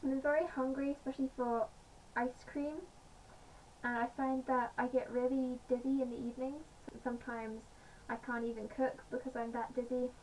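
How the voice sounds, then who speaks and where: A woman with a slightly croaky voice, chatting casually in a home vlog with many pauses and fillers. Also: sits high, level tone, a little mellow. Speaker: vlogger. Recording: distant mic, faint background noise.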